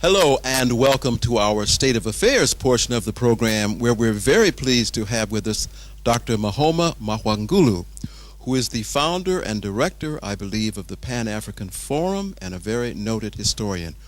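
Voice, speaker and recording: A man hosting a television interview in a polite, formal and measured manner. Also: medium pitch, lively intonation. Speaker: television programme host and interviewer.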